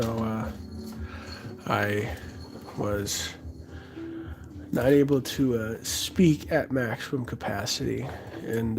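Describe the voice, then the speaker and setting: A man with a hoarse, raspy voice left over from being sick talks slowly and haltingly. He fills gaps with "um" and "uh" as he chats with viewers during a livestream, with soft music playing behind him. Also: mid-range voice. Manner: halting, many fillers, answers chat as he works. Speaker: livestreamer sculpting and chatting with viewers. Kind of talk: casual livestream chat. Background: music playing softly.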